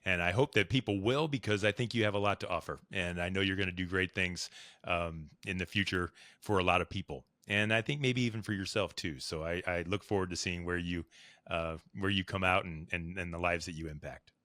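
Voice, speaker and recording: Deep-voiced enthusiastic podcast host. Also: male voice, a little fast, sharp tone, no room sound, studio quality. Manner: enthusiastic, effusive praise, frequent restarts. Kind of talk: podcast interview hosting.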